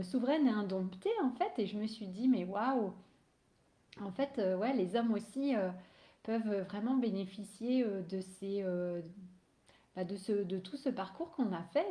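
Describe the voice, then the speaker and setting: A woman's voice: medium pitch, dark tone, reverberant. A woman with a cold who is losing her voice, an energy healer talking casually on a live stream, hesitant with many fillers and restarts.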